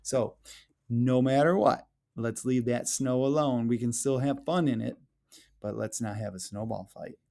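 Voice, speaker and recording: A man reading a picture book aloud to young children in an animated, playful way, with exaggerated questions and exclamations addressed straight to them. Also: mid-range voice, measured pace, vocal fry. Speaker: elementary school educator reading aloud.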